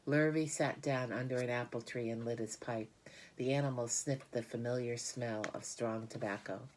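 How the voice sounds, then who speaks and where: A woman with a very deep voice narrates a children's storybook. She reads from the text as an audiobook reader, her pitch sitting unusually low for a woman. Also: steady tone, moderate pace, darkish tone, slight background noise.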